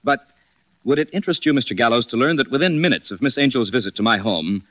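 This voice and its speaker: Male actor as courteous sleuth, 1940s radio drama; unruffled, expressive voice, dull vintage sound. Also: medium pitch, fast talker, lightly acted. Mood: assertive. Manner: composed, polite, firm. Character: calm, courteous, shrewd male gentleman detective.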